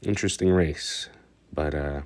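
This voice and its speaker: A man's voice: a bass voice, a little slow, darkish tone. A man giving a casual, rambling recap of a stock car race, stuffed up and hesitant, full of fillers and restarts. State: congested, stuffed up. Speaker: racing commentator.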